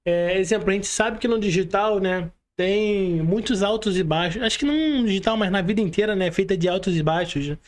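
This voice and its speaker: High-pitched Brazilian man hosting a podcast. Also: a little fast, hardly any pauses. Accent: Brazilian Portuguese. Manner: informal and slangy, checks understanding with frequent tag questions. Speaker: podcast host. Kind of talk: casual podcast interview.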